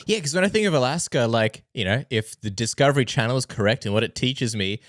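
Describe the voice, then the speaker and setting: A man's voice: medium pitch, animated, fast, fairly bright tone, no echo, clean studio sound. A male podcast host asking questions in a loose, jokey way, full of "like" and "you know". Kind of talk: casual interview chat.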